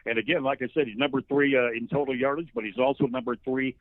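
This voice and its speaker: A male play-by-play broadcaster as an interview guest, giving conversational football analysis in a folksy, halting, emphatic storytelling way. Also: mid-range voice, steady tone, dry recording. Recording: thin narrow phone-like line.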